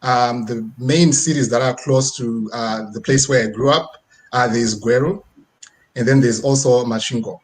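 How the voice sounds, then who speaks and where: Zimbabwean male speaker, mid-pitched and husky, conversational motivational talk over video call. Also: fairly even intonation, normal speed. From rural Midlands region of Zimbabwe. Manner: conversational, frequent tag questions seeking agreement. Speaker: guest speaker. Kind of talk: personal motivational presentation. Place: online video presentation with screen sharing.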